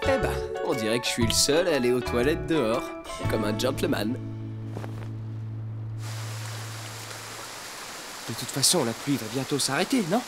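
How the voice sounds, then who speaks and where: An actor plays a cocky male cartoon fox over loud music. The delivery is lively and casual, full of grumbling and boasting, with a touchy edge. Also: mid-range voice, fairly quick, close to an everyday voice. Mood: self-satisfied. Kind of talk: French cartoon dub acting. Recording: music behind the voice.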